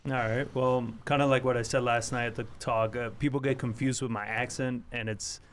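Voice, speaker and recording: A laid-back man from Argentina, speaking as a podcast guest. He tells his story casually, with a slightly rough edge and wry humor. His voice sits at an ordinary middle pitch. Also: steady tone, a little fast, slight background noise. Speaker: interview guest, real estate wholesaler.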